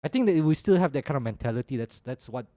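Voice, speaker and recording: A man's voice: mid-range voice, lively intonation, fast, warm tone, no room sound, clean studio sound. A man with a Malaysian English accent, co-hosting a podcast in casual, colloquial chat sprinkled with local particles. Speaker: podcast co-host.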